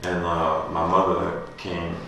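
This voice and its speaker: A man's voice: low-pitched, little pitch movement, slow pace, gravelly, bright, forceful tone. A man from France talking loosely about his life and work at a gallery artist talk, rambling with many fillers. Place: gallery space. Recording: echoey room, distant mic, faint hum.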